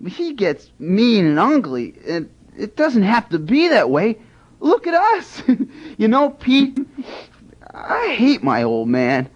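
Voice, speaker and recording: A defiant teen boy, high and lively, slow delivery. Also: full character voice. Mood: tipsy. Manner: sullen, defiant, giggly when drinking. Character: rebellious fourteen-year-old boy, hippie-leaning. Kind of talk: radio drama acting. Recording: dull lo-fi radio recording.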